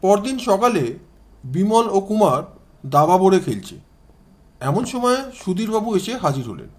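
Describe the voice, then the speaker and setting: Guy with a pretty high voice narrating an audio story, dramatic reading. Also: very animated, measured pace.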